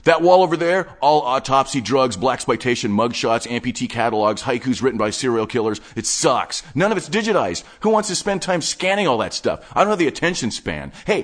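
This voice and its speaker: Man doing a manic tape collector, high-pitched, loud, rambling, excitable, obsessive. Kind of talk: audiobook character voice.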